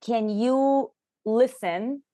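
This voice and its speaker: A woman's voice: fairly high pitch, extremely slow, warm tone, dry recording, clean studio sound. A middle-aged woman whose first language is Russian, a leadership coach talking earnestly and reflectively as a podcast guest. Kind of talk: podcast conversation.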